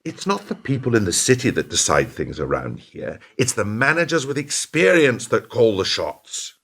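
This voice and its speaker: A man's voice: mid-range voice, very animated, moderate pace, fairly bright tone. A man acting in a radio play as a pragmatic, evasive company boss, guarded and self-justifying. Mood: defensive.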